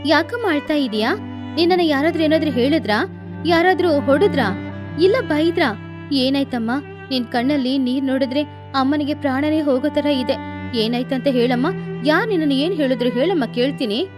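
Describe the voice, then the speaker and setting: A tender mother sparrow, voiced by a woman narrator in a cartoon bedtime tale. She sounds high and fretful, affectionate and anxious, over faint music. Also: moderate pace, natural voice. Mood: worried. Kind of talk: children's animated bedtime story.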